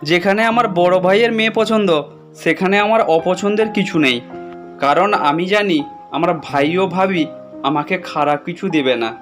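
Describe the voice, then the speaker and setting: A man plays an earnest younger brother in a cartoon, speaking high and firm. Loud music plays under him. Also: normal speed.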